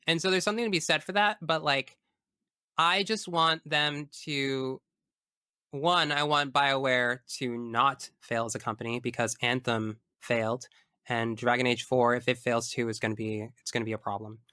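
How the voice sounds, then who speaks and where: A man with a bright, crisp voice talks casually as a podcast guest. He is opinionated and emphatic and swears freely. The recording is dry and close. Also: mid-range voice, fairly even intonation, normal speed, no echo. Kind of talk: casual chat about video games.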